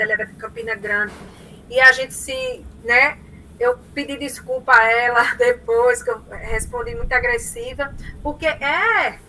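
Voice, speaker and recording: A woman lecturer with a Northeastern Brazilian accent from Paraíba, giving an online class in an animated, storytelling way and often tagging her sentences with "né?". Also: fairly high pitch, slight background noise, low-quality recording. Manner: animated storytelling, frequent "né?" tags. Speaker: university lecturer.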